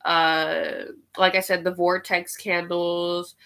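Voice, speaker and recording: Nasal woman with a cold, bright voice. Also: lowish voice, little pitch movement, creaky, smooth. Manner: unhurried, with many "um" fillers. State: sick with a cold. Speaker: spiritual reader and live streamer. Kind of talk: live-streamed spiritual guidance and guided meditation.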